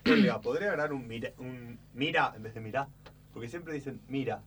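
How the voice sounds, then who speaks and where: Hombre argentino, lejos del micrófono. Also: male voice, mid-range voice, brisk. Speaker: comedy group performer. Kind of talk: casual rehearsal chat. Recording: distant mic, faint background noise.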